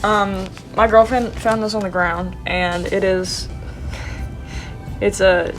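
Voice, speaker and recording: A woman's voice: medium pitch, steady tone, slow pace, faint music behind, poor audio. A young woman in her twenties, sounding congested and sniffly, chatting casually and playfully with lots of slang while showing her sketchbook. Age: young adult. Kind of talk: casual vlog chat.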